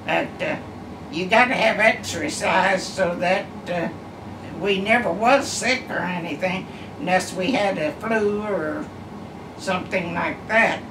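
Elderly great-grandmother, unhurried rambling storyteller; roomy, distant home recording with background hum. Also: lowish voice. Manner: slow, rambling storytelling with many uhs. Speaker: great-grandmother telling family stories. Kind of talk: casual reminiscing. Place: living room at home, seated in armchair. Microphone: camera across the room. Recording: echoey room, far camera, background hum.

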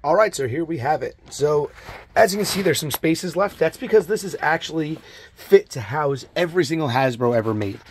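A man in his thirties hosting a casual toy collecting vlog in a relaxed, chatty way with plenty of fillers, filmed at home on a camera held at arm's length. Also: a little high, fairly quick, noisy background. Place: home toy room, in front of green screen.

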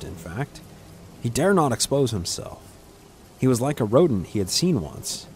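A man's voice: medium pitch, darkish tone. A man reading a scripted fantasy adventure as a dramatic narrator. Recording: clear background ambient noise.

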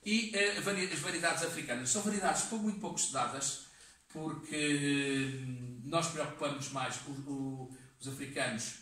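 A man in his fifties with a European Portuguese accent, giving a halting classroom lecture full of fillers, recorded from across the room. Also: on the high side, pushed and bright. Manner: halting, many fillers and restarts. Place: community classroom with seated audience. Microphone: far camera in the room. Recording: echoey room, voice from a distance.

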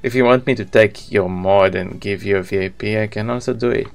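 Man, thirties, Israeli accent, low gravelly voice, casual game-stream chat, faint music behind. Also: fairly quick. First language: Hebrew. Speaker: video game live streamer.

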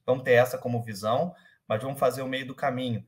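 A man in his thirties speaks with a carioca accent worn down by years in São Paulo. He explains things in a loose, hesitant way, stopping to restart his sentences and filling pauses. The sound comes from a webcam on a video call at home. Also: mid-range voice, steady tone. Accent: carioca Brazilian Portuguese, softened by years in São Paulo. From Rio de Janeiro, Brazil. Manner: hesitant, many fillers and restarts. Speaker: guest, company manager. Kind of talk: casual interview conversation. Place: room at home, indoors. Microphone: webcam or laptop mic on a video call.

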